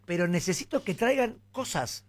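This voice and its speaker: A man's voice: high-pitched, moderate pace. A man hosting a radio show, chatting casually with a Rioplatense Argentine accent and acting out the voices in his stories. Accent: Rioplatense Spanish. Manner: animated storyteller, acts out voices. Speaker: radio host. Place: radio studio.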